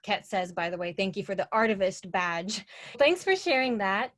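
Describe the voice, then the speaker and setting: A woman's voice: medium pitch, lively intonation, moderate pace, a bit bright. Upbeat woman hosting an online show. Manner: upbeat, reads guest intros and viewer comments. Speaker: host. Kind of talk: hosting a live video workshop. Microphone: video call.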